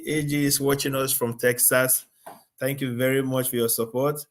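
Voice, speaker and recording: A man's voice: medium pitch, little pitch movement, moderate pace. A man hosting a live stream, affirming his guest and thanking viewers, with frequent stammers and laughs. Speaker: host.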